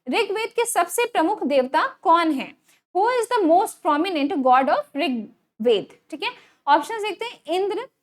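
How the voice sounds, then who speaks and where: A woman with an Indian accent teaching an exam quiz lesson, reading out questions and options and repeating key answers with frequent check-in tags. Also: very high-pitched. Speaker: online exam-prep teacher.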